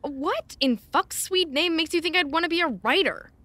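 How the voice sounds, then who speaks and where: An actress playing a blunt, exasperated but caring personal assistant in naturalistic audio drama, wry and no-nonsense. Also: female voice, very high-pitched, moderate pace, pushed and bright, no room sound. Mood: incredulous. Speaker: actor.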